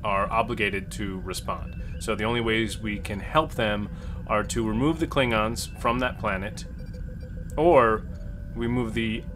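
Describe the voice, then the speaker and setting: A man playing a tabletop game, talking through options in a measured, thoughtful way. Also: fairly low pitch, fairly even intonation, noisy background. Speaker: player voicing the captain. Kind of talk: tabletop play discussion. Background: background music.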